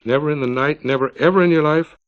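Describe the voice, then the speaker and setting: A man's voice: fairly high pitch, fast, clean tone, no room sound, voice pushed up, natural voice. A man voicing a cold, severe, proud maiden lady with clipped, sure speech, in a story on a 1950s record. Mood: stern. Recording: dull 1950s vinyl record.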